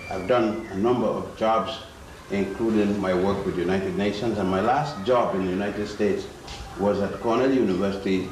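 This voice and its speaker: An older man from Ethiopia, giving a public lecture in a slow, halting way with many pauses. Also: lowish voice, fairly even intonation. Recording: echoey hall from far off, noisy.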